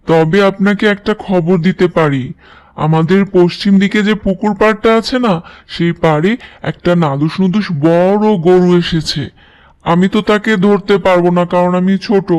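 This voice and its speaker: A male actor plays a small, clever cartoon jackal with a high, smooth voice. He is a polite schemer, worried and deferential, always earnestly persuading. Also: unhurried. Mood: deferential, coaxing. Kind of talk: children's cartoon story dialogue.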